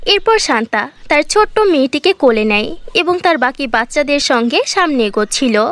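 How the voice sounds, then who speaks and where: High-voiced woman narrating fairy tales. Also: expressive, normal speed, fairly bright tone, no room sound. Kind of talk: scripted storybook narration for a Bengali fairy-tale cartoon.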